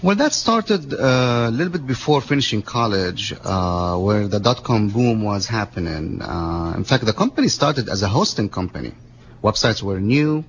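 Gravelly-voiced Lebanese-born man, rambling guest. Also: on the low side, big pitch swings, normal speed. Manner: chatty, rambling, with frequent fillers. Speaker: guest, business owner. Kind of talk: casual radio interview. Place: radio studio. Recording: muffled radio broadcast with faint hiss.

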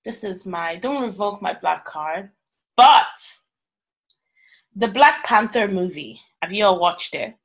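A woman's voice: medium pitch, lively intonation, takes it slowly, long pauses. A woman chatting casually and animatedly with friends, swearing and laughing easily. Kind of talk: casual chat among friends.

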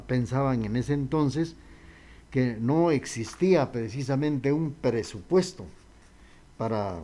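A man's voice: mid-range voice, dark tone, a little hiss or hum. A male radio host, talking casually and unhurriedly with frequent hesitations.

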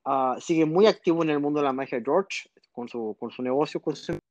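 A man, a little high-pitched for a man. He rambles through his stories with plenty of fillers. His voice comes over a dull, muffled line, dry and close. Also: fairly quick, a little mellow, no room sound. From born in Texas, raised in northern Mexico. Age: 30s. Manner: rambling, storytelling, frequent fillers. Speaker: guest magician. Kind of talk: casual podcast interview.

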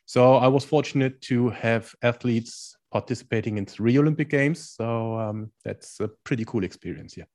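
A man in his forties from Germany with a German accent, answering interview questions in English as a coach in a calm, explanatory way. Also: medium pitch, moderate pace, hardly any pauses. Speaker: guest, strength and conditioning coach.

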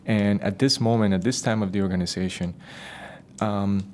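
A man's voice: on the low side, fairly even intonation, normal speed, a little hiss or hum. A man who works as a business development manager, speaking in an earnest, advice-giving way in an interview. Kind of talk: casual interview talk.

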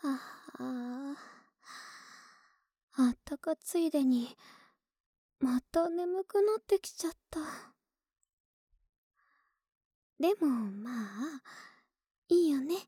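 A woman playing an affectionate, sleepy young neighbor in an intimate ASMR voice drama, speaking softly and tenderly, often in whispers, very close to the microphone. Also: high voice, animated, no echo. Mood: affectionate, drowsy. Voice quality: soft, breathy, often whispered. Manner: slow, tender, coaxing, drawn-out drowsy words. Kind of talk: Japanese ASMR situation voice drama, intimate and soothing. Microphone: very close ASMR microphone, dry sound.

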